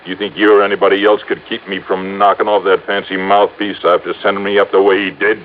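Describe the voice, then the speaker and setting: Man playing a deep-voiced bullying radio gangster. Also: level tone, quick pace, phone-quality sound, lightly acted. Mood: menacing, cocky. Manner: gruff, snapping tough-guy talk with gangster slang. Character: bullying, cocky male gangster ex-convict, big and vengeful. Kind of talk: old-time radio crime drama acting. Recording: noisy, thin old radio recording.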